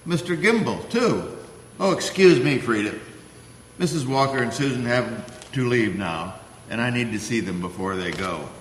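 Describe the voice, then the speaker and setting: An actor playing a kindly, grandfatherly old Santa Claus in a radio play, gently fussy in the way he talks. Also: medium pitch, animated, normal speed, echoey room, in a large hall, a little hiss or hum, close to an everyday voice, low-quality recording.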